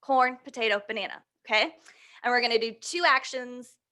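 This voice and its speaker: Woman, high voice, children's storytime host, playful, encouraging, animated read-aloud delivery. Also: normal speed. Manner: playful, encouraging, checks in with listeners. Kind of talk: animated picture-book reading and action songs for young children.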